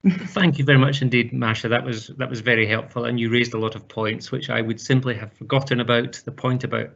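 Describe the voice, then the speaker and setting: A man's voice: mid-range voice, fairly even intonation, a little fast, vocal fry, fairly bright tone, highs cut off. A man giving an open day presentation as a university lecturer, formal, with frequent restarts. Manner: formal, with repeated words and restarts.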